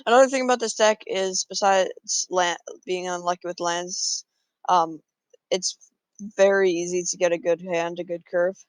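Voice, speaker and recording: A man's voice: high voice, steady tone, normal speed, clear, resonant tone, no room sound. A man casually talking through his moves in a card game, thinking aloud with frequent fillers. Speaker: gameplay commentator.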